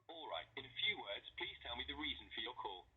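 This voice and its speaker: A man's voice: medium pitch, very animated, fast talker, pushed and bright, breathy edge. A man's voice reading scripted automated phone menu prompts over a phone line.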